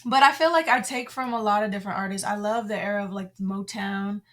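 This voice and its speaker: A woman's voice: medium pitch, fast, a bit bright. A woman artist from Massachusetts, raised in Texas, chatting easily as a guest on a show. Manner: friendly, easygoing, laughs along. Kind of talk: casual interview chat.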